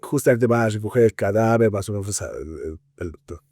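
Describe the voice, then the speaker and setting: A man with a Bolivian Spanish accent talking film criticism conversationally as a podcast co-host, haltingly searching for words with frequent filler tags. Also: on the low side, a little mellow, no echo, studio quality.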